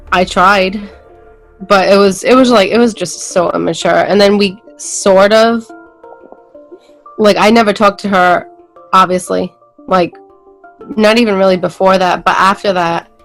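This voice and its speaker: New England woman, rambling storyteller. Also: medium pitch. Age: adult with school-age kids. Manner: rambling, heavy on fillers and restarts. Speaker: interview guest. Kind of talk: casual storytelling in a podcast interview.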